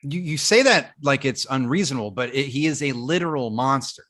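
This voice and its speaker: A man playing a flustered, defensive young werewolf, halting and stammering, in a comedy script reading. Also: mid-range voice, animated, a bit bright, natural voice. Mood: sardonic. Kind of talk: comedic script table read.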